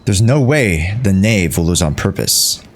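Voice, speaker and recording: Young male streamer, casual and slangy, very lively intonation with an unhurried pace and hammy jokes. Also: sits low. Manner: jokey, theatrical, slangy. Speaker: game streamer. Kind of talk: casual live gameplay commentary.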